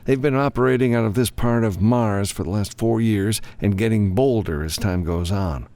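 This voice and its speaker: A man's voice: on the low side, moderate pace, warm tone, pitched above the performer's own voice, natural voice. A man voicing a grim, commanding government lawman in an audiobook, giving a frank, businesslike briefing. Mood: businesslike, firm.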